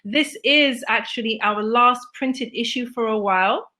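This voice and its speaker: A woman hosts a relaxed conversation, upbeat and playful and quick with shout-outs. Her voice sits fairly high and sounds bright and lively. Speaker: magazine editor hosting a video chat. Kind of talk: casual chat.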